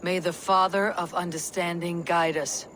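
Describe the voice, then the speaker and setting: A woman's voice: lowish voice, level tone, moderate pace, fairly bright tone, a little hiss or hum, natural voice. An actress playing a stoic, self-assured warrior woman in a game, terse and direct. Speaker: protagonist. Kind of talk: game cutscene acting.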